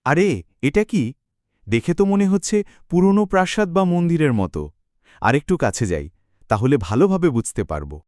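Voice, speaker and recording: A young man voicing a curious young city man in a cartoon who wanders and marvels out loud. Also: on the high side, big pitch swings, moderate pace, long pauses, dry recording, studio quality.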